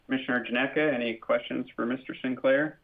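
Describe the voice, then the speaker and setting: A man chairing a formal public meeting, measured and courteous, calling on commissioners and speakers with small fillers and repeats. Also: medium pitch, steady tone, gravelly. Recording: tinny phone-like audio.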